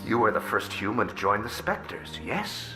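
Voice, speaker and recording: An actor playing a courteous, scheming alien diplomat in a video game, with formal, measured phrasing and questioning tags. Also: male voice, fairly low pitch, very animated. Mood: courteous, guarded. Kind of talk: video game character dialogue. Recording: loud music behind the voice. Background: game music.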